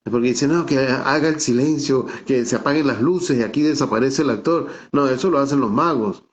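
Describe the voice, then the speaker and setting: A man's voice: mid-range voice, a little fast. A middle-aged man from the Venezuelan Andes, with a Táchira accent, speaking as a guest on an online call. He explains at length in a thoughtful way, restarts often and keeps adding "¿no?" as he talks. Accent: Venezuelan Andean (Táchira). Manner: thoughtful, many restarts and fillers, asks "¿no?". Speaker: theater director and guest speaker. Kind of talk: online talk, reflective conversational explanation. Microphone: video call. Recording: dull lo-fi call audio.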